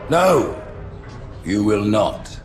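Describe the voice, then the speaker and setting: An actor playing a calm, coldly judging alien religious leader in a video game, with measured, formal oratory. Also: male voice, on the low side, background noise, heavily acted. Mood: cold, final. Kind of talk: cinematic video game acting.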